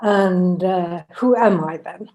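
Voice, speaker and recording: Mellow-voiced woman in her eighties. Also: on the low side, slow pace. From Finland. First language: Finnish and Swedish. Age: 80s. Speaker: guest lecturer. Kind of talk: academic webinar lecture.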